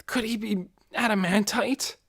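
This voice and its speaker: A man's voice: high-pitched, steady tone, measured pace, a bit bright, slightly rough, dry recording, studio quality, voice pushed up, heavily acted. A man voicing an awestruck male adventurer in an audiobook, stammering in disbelief. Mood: stunned awe. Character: awestruck male adventurer witnessing impossible feats.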